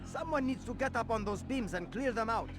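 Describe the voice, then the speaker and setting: High-pitched, scoffing townsman. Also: a little fast, over music. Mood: scornful. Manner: scoffing, contradicting. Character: sceptical, gossipy male townsman scoffing at those in power. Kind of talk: video game voice acting.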